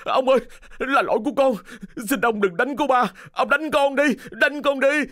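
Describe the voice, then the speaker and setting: A man's voice: very high voice, normal speed, fairly bright tone, no room sound, clean studio sound, voice pushed up. A man voicing a frightened, humble young servant in an audio story, pleading and deferential.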